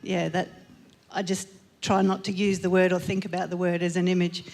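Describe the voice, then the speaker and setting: A middle-aged woman speaking on a church panel in a measured, matter-of-fact way. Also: fairly low pitch, flat delivery, a little mellow. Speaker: panelist, geologist. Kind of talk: panel conversation. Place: church stage at a live service.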